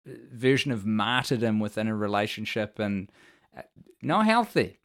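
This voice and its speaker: Man, New Zealand accent, lowish voice, podcast guest, casual opinionated chat, wry and mock-earnest. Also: big pitch swings, moderate pace.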